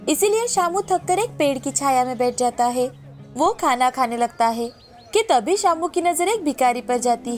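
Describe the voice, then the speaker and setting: A woman narrator reading a scripted moral tale in storytelling style. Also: sits high.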